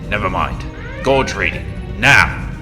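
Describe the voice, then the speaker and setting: A man playing a gruff, impatient lieutenant in a sci-fi audio drama, giving terse, commanding orders. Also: sits low, expressive, slow. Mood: barking, impatient. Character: gruff, commanding male space marine lieutenant, brisk and impatient. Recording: long reverb under loud music.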